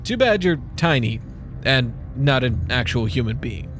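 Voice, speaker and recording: Lead male, played by an actor: lonely, awkward, self-deprecating security guard, expressive, horror dub with background music. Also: medium pitch, unhurried. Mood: bemused. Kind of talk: dramatized horror comic dub.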